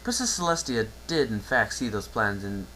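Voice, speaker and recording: A man's voice: on the low side, lively intonation, moderate pace, pushed and bright, poor audio. Male story narrator, faint hum behind. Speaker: narrator, amateur reader. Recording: faint background noise and hum.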